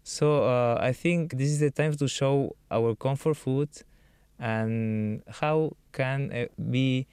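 Peruvian chef, clear smooth voice. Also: male voice, medium pitch, slow, no echo. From Peru. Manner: relaxed, thinks aloud with many fillers. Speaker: chef and cookbook author. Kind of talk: radio interview answers.

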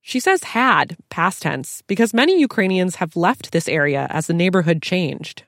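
A woman reporter narrating a news feature in a measured, even way. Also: on the low side, no echo, clean studio sound.

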